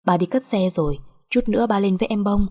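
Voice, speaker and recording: A woman's voice: medium pitch, quick pace, mellow, slightly rough. A woman voicing a timid young wife and mother, deferential and emotional, in an audio drama. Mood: soothing.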